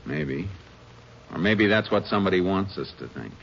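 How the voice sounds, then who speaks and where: A man playing a calm, commanding Texas Ranger who also narrates, in a 1950s radio drama recorded on a muffled old broadcast. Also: low-pitched, moderate pace, gravelly, a little hiss or hum, exaggerated voice. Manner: calm, matter-of-fact, commanding. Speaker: lead detective and narrator.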